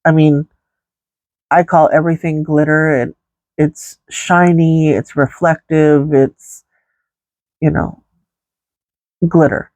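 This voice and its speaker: Congested woman vlogger, unhurried rambling makeup chat, dry close sound. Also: low voice, flat delivery, darkish tone, no room sound. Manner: slow, rambling, many fillers. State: stuffy nose, congested. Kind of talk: casual makeup tutorial chat.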